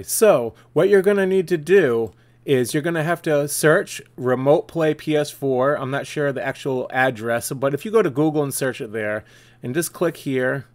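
A man's voice: fairly high pitch, darkish tone. A man hosting a casual step-by-step screen tutorial. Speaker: tutorial video host.

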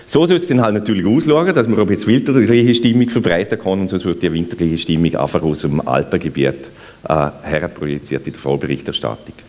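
Young man with a low voice in a hall. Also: a little fast, a little mellow. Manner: loose, chatty, with fillers. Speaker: co-presenter. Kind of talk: stage presentation in dialect. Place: event hall, on stage. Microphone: handheld mic. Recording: long hall reverb.

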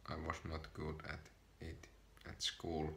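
A man's voice: very deep voice, monotone, takes it slowly, creaky, sharp tone, a little hiss or hum. A shy young Finnish man with a Finnish accent talking hesitantly to a camera from his sofa at home, English not his first language. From Finland. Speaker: vlogger. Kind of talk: personal vlog to camera. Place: small room, seated on a sofa. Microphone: camera in front of him.

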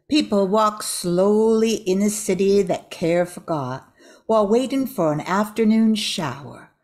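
An older woman narrating a scripted radio drama in a measured, deliberate manner. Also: mid-range voice, a little mellow.

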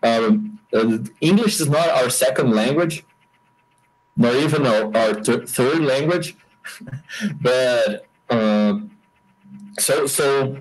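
Slow-talking Brazilian man with halting English. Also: medium pitch, husky, rough recording. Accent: Brazilian Portuguese. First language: Portuguese. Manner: hesitant, many restarts, laughs often. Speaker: interview guest, game designer. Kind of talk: casual interview over a call.

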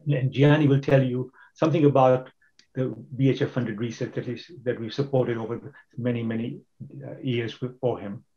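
A man hosting an online event, introducing the speakers with many halting restarts. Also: mid-range voice, fairly even intonation, mellow, dull audio. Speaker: host.

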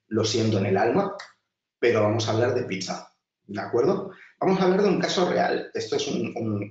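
Chatty instructor, distant roomy audio. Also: male voice, lowish voice, a little mellow, highs cut off. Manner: chatty, many restarts and filler, addresses class directly. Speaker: design instructor. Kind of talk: webinar lecture over slides.

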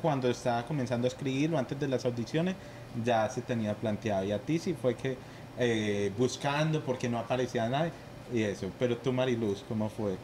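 A man's voice: mid-range voice, brisk, noisy background. Young man, casual podcast host. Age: young adult. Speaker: film director leading cast discussion. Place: shop with shelves of goods, indoors. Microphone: podcast mic on boom arm.

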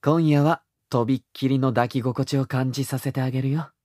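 A man playing a gentle, teasing young boyfriend in an intimate ASMR roleplay, with a soft, breathy, murmuring delivery. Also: mid-range voice, fairly even intonation, no echo, clean studio sound, close to an everyday voice. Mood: tender, reassuring. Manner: slow, tender, murmuring, slipping into whispers. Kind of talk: intimate ASMR boyfriend roleplay in Japanese audio drama style.

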